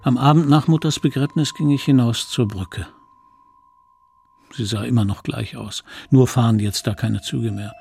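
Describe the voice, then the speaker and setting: An actor playing a middle-aged man who looks back on his childhood in a radio play, reflective, confiding and gravelly. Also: mid-range voice, normal speed, a little mellow, faint music behind, close to an everyday voice. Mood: grieving, remorseful. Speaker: narrator. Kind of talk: dramatic first-person radio play narration.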